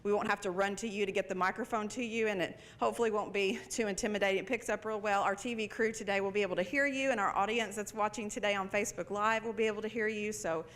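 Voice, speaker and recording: A woman's voice: mid-range voice, fairly even intonation, fast, hardly any pauses, a bit bright. Lady opening a campus panel, friendly, lots of ums, mic in a big room. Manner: friendly, with many fillers. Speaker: library director introducing a panel. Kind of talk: welcome speech at a live event.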